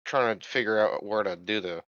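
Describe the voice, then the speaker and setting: A man's voice: lowish voice, moderate pace, a bit bright. A man chatting casually as a player in a tabletop game, with hesitant, stammered phrasing. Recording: slightly muffled dry voice recording.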